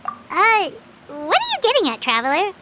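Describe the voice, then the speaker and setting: Game fairy companion: tiny, childlike, excitable and flustered, extremely high-pitched, clear noise behind. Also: female voice, normal speed, full character voice. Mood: flustered, unsure. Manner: refers to self in third person, exclaiming. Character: small childlike chirpy fairy companion, eager and easily flustered.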